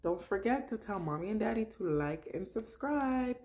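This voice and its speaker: A woman, around her thirties, speaking as the host of a children's story time, in an expressive storytelling manner. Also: mid-range voice, normal speed, breathy edge. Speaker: host of a children's read-aloud show. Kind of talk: children's story time, picture book read aloud.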